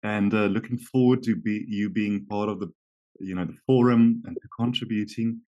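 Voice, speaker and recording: Afrikaans-speaking host guy, middle-aged, chatty and friendly, fairly low voice. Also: steady tone, normal speed, dark tone, highs cut off. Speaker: interview host. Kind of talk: conversational interview.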